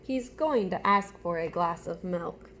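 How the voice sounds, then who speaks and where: A woman's voice: lowish voice, unhurried, fairly bright tone, a little hiss or hum. A woman teacher reading a storybook aloud to young children, chatting to them directly with simple questions and step-by-step prompts.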